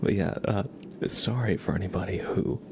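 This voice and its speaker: A man with a lowish, creaky voice talks softly to his livestream viewers, often dropping to a near whisper. He is casual and amused, speaking close to an ASMR microphone with clear noise behind him. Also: moderate pace. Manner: hushed, amused, chatting to viewers. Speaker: live streamer, ASMR host. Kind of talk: casual ASMR livestream chat, soft-spoken to half-whispered.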